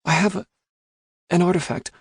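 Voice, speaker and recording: A man's voice: sits high, steady tone, moderate pace, breathy edge, no echo, clean studio sound, pitched above the performer's own voice, full character voice. A man voicing a guarded young woman who talks in a hesitant, earnest way, a storybook figure. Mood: wary. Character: guarded young woman, wary yet hopeful.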